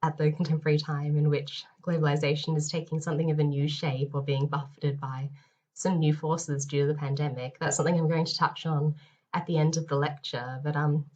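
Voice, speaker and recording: A woman lecturer with a head cold, sounding nasal and a bit hoarse, giving a recorded lecture with many ums and restarts. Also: sits low, flat delivery, fairly quick, a little mellow, highs cut off. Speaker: university lecturer.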